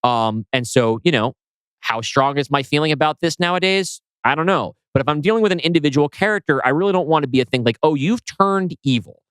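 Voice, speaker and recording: A man's voice: a little high, a little fast, fairly bright tone, clear, resonant tone, no room sound, low-quality recording. A man co-hosting a podcast, casually explaining his own writing, posing rhetorical questions and swearing offhandedly. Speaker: podcast co-host and scriptwriter.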